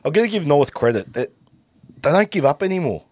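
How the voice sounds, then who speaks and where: A man co-hosting a sports podcast, a bit nasal from a cold, talking in a rambling, relaxed way. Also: on the high side, fast, no echo. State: stuffy nose from a cold. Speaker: podcast co-host. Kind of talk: casual sports chat.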